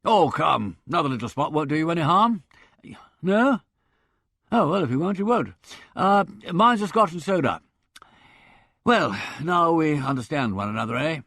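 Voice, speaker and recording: A man's voice: a little high, animated, moderate pace, long pauses, fairly bright tone, dry recording, studio quality. A man doing a blustering country squire. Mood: hearty. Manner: hearty, boorish, blustering. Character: blustering middle-aged English country squire.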